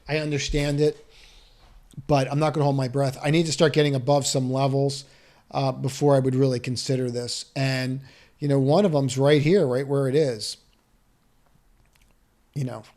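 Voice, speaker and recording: A man's voice: mid-range voice, darkish tone. A man walking through stock charts on a screen share, thinking aloud with cautious advice, restarts and rhetorical questions. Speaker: stock market analyst.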